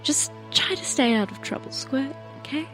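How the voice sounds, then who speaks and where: A woman plays a tabletop game, chatting casually and improvising lines. Her voice is fairly high with a bright, clear-cut edge. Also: lively intonation, normal speed, faint music behind, poor audio.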